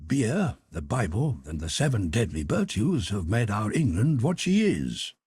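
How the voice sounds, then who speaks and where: A man's voice: on the low side, big pitch swings, a little slow, a little mellow, breathy edge, no echo, clean studio sound, voice pushed up, exaggerated voice. A man reading a novel aloud, voicing a cynical, worldly English lord who drawls out clever sayings. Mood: languid. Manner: languid, worldly, drawling aphorisms. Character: cynical epigram-loving English lord, middle-aged. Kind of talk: audiobook reading.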